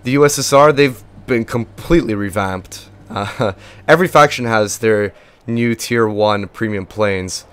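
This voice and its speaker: A young man hosts a casual walkthrough of a game, talking in a chatty, rambling way with plenty of fillers. He has lost his voice, so he sits fairly low and stays stuck in one narrow band of pitch. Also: measured pace, fairly bright tone, clear, resonant tone. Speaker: gaming video host.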